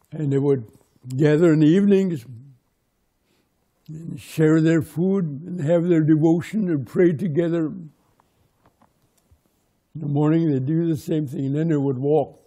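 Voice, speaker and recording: A man whose first language is Lakota, telling oral history in short, plain sentences. His voice sits high for a man but has a very dark, mellow tone. He speaks slowly and unhurriedly. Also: vocal fry, slightly rough. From Pine Ridge, South Dakota. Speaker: interviewee, local historian.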